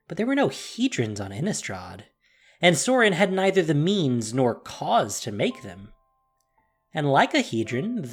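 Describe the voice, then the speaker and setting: Expressive, dramatic fantasy story narrator. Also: mid-range voice.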